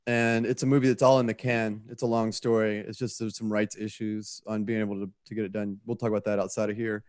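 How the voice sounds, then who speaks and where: A man's voice: on the low side, little pitch movement, fast talker, a little mellow, no echo. Man casually telling stories, dry sound. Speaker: guest writer of the production. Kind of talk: casual storytelling in an interview.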